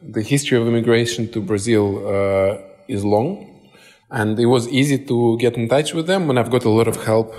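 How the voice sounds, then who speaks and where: A man from Moscow with a Russian accent, answering interview questions conversationally with pauses mid-sentence. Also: medium pitch, a little mellow.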